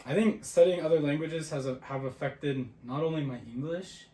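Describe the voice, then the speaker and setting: A man's voice: on the high side, moderate pace, mellow. A young man co-hosting a podcast, chatting casually and quizzing his friend. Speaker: podcast co-host. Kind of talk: casual chat. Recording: roomy echo, faint ambient noise.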